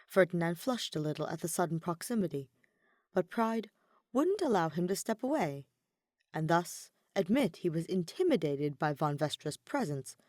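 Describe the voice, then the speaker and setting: A woman narrating a story in an expressive audiobook style. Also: fairly low pitch, dry recording, studio quality. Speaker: podfic reader, narrator.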